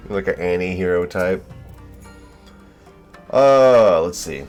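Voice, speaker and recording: A man's voice: deep voice, lively intonation, slow pace, a little mellow, soft background music. A young man chatting casually and in friendly slang as he livestreams a game, with an easygoing manner. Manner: laid-back, friendly slang. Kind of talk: casual chat while playing.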